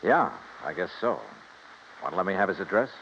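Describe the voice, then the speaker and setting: An American actor playing a shrewd, hard-nosed insurance investigator in a 1950s radio detective drama, with a brisk, probing, wry delivery. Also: male voice, deep voice, lively intonation, a bit bright, a little hiss or hum. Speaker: lead actor and narrator. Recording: dull old radio recording with music.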